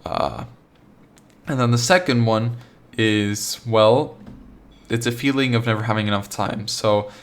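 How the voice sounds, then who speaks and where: Young male podcast host from Argentina, lower voice, casual and rambling, no accent. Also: unhurried, pauses often. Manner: rambling, full of "like" and restarts, laid-back. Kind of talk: casual solo podcast monologue.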